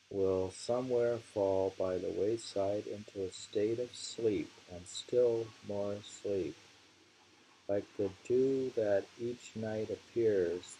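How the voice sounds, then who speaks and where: A man reads poems and comments on them casually, taking his time and pausing often. His voice is fairly low and heavily creaky, with halting phrasing and repeated lines. A faint hiss sits under the recording. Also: warm tone, low-quality recording. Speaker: reader of translated poetry. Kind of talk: slow poetry reading with casual commentary.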